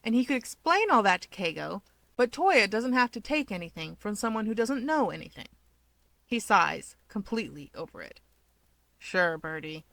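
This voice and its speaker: A woman reading a scripted story aloud as an expressive narrator. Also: a little high, normal speed, gravelly, no echo. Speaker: fiction narrator.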